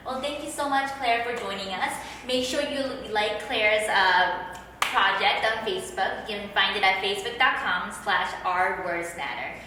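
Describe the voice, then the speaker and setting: A woman's voice: medium pitch, measured pace, noisy background. A woman hosting an interview, upbeat and encouraging, chatty with frequent fillers, recorded from a distance in a room. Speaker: host. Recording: echoey room from far off.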